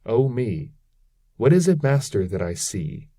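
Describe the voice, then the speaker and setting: Guy doing scared pilgrim begging his master, anxious, pitch all over, mellow, epic poem. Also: very animated, measured pace, dry recording, clean studio sound. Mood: fearful, pleading. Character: frightened male pilgrim pleading with his master.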